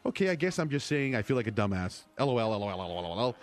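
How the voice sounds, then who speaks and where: A man's voice: mid-range voice, soft background music. A man hosting a morning radio show, joking and reading listener emails. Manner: quick, lively, joking.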